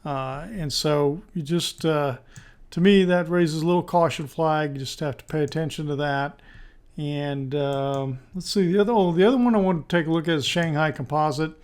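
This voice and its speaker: A man with a fairly high, slightly hoarse voice talks through stock charts as the presenter. His delivery is informal and tentative, and he works out his thoughts aloud while commenting. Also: normal speed, a little mellow. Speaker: stock market video presenter. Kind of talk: screen-share market analysis commentary.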